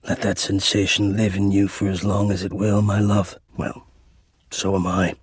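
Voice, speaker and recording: Melodramatic, anxious, yearning recluse. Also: male voice, low-pitched, completely flat delivery, breathy edge, dry recording, clean studio sound. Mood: tender, hopeful. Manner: florid, halting, theatrical speech. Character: anxious, melodramatic, yearning man hiding in an attic. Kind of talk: video game character acting.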